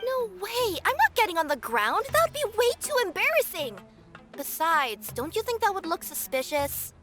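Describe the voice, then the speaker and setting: Anime game cat-girl courier: young, very high, lively intonation, earnest, dutiful, easily flustered. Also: normal speed, over music. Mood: flustered, indignant. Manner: earnest, emphatic, animated. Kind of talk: English anime game dub.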